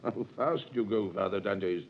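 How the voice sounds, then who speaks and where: A man's voice: on the low side, moderate pace, a little hiss or hum, natural voice. An actor playing a sly, teasing middle-aged male tailor in a 1930s radio drama, with an insinuating, chuckling manner, on a tinny old recording.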